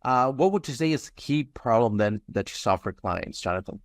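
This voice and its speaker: A man's voice: medium pitch, lively intonation, brisk, dry recording, studio quality. A man hosting an interview podcast in an informal, chatty way.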